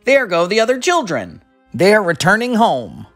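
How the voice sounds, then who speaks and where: An eccentric, cheerful factory owner from a storybook, acted out by a reader. The voice is exuberant and urging, swinging up and down in an animated way, with faint music behind. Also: mid-range voice, normal speed, pitched above the performer's own voice. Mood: cheerfully confident. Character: eccentric, cheerful, gleefully hurried man, a chocolate factory owner.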